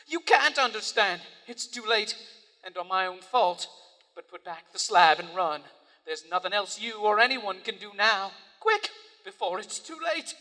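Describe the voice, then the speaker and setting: A man's voice: high voice, animated, moderate pace, sharp tone. A man playing a doomed, horrified explorer who pleads urgently in an old-time radio horror play, in a reverberant hall. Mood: frantic urging. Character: doomed, terrified male explorer urging his friend to flee.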